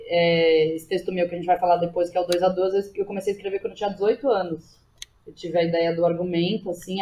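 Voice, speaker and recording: A Brazilian woman in her thirties talks as a podcast guest. Her voice has an average pitch, and her delivery is chatty and candid, dotted with tags seeking agreement. Faint noise sits behind her. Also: a little mellow. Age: 30s. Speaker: podcast guest, theatre teacher and playwright. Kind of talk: casual interview talk. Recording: clear background noise behind the voice.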